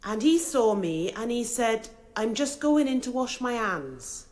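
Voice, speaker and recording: An actress playing a nervous, simple domestic servant, answering hesitantly and deferentially in a radio courtroom drama. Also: female voice, on the high side, very animated, unhurried, darkish tone. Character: nervous, simple young female domestic servant under questioning.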